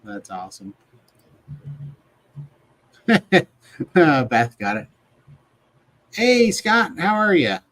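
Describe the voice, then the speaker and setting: A chatty man hosting a livestream over a video call, with a fairly high voice. His pitch jumps around a lot as he jokes and laughs, and his tone stays friendly and loose throughout. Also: wildly swooping pitch, very slow, pauses often, fairly bright tone, no room sound, poor audio. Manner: jokey, laughs often, chatty. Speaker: livestream host. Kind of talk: casual livestream chat.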